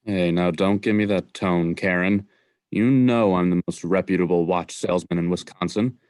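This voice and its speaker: A man acts out a mildly sleazy salesman with a fairly low voice, close and dry. He is casual and wheedling, quick to protest his innocence in a comic radio play. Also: fairly even intonation, darkish tone, clean tone, no echo, natural voice. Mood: casual, defensive. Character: lightly sleazy middle-aged stolen-watch salesman uncle. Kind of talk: student radio play acting.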